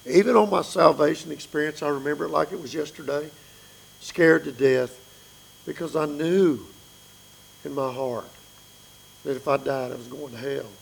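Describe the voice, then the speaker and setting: Raspy, gravelly-voiced preacher man. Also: on the high side, steady tone, normal speed, pauses often, low-quality recording. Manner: earnest, pleading with listeners. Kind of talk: sermon.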